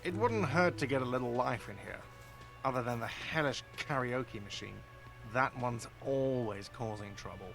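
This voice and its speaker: An actor playing a grumpy middle-aged hostel manager in a video game, gruff and defensive, swearing now and then. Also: male voice, mid-range voice, moderate pace, fairly bright tone, faint music behind. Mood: grumbling. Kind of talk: game voice acting.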